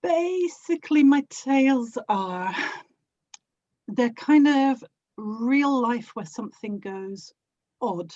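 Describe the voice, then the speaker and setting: A woman's voice: on the high side, lively intonation, slow pace, mellow, muffled recording. A woman from South London with a South London accent, chatting casually as an interview guest. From South London, England. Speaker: interview guest, audio drama actor and producer.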